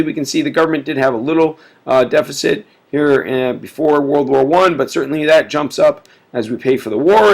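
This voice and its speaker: A man's voice: a little high, gravelly. A man giving a casual recorded history lecture, full of filler words, sick and sniffly. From United States. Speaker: teacher.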